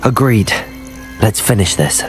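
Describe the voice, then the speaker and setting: A man's voice: lowish voice, expressive, measured pace, rough recording. A man playing a loyal, reassuring teenage wizard hero in video game dialogue, with music behind him. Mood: resolved. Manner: polite, sincere, reassuring. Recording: music under the voice.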